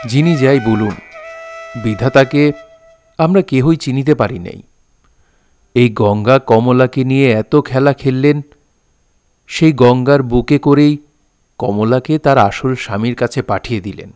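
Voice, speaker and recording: A man's voice: medium pitch, a little slow, pauses often, darkish tone, dry recording, clean studio sound, soft background music, close to an everyday voice. A man playing a solemn, sensitive poet who narrates his own novel in a reflective, literary way. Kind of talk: dramatised literary storytelling.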